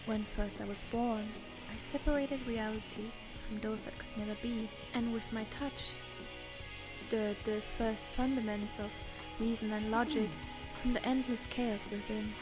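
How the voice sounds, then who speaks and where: A woman's voice: a little high, steady tone, measured pace, close to an everyday voice, rough recording. A woman voicing an ancient, weary otherworldly being in a fantasy game, speaking in a grave, formal, archaic way. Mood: solemn, weary. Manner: formal, archaic, solemn storytelling. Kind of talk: fantasy game character monologue. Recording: music under the voice.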